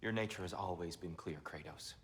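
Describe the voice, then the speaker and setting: Man acting a low-voiced, world-weary god. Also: close to an everyday voice. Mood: disappointed, reproachful. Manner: formal, measured, pointed. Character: disapproving, world-weary god of peace, dignified counsellor. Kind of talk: dramatic video game acting.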